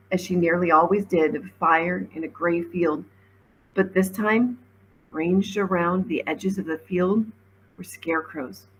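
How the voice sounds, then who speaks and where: A woman, a teacher reading a storybook aloud with expressive narration. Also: fairly low pitch, a little hiss or hum, rough recording.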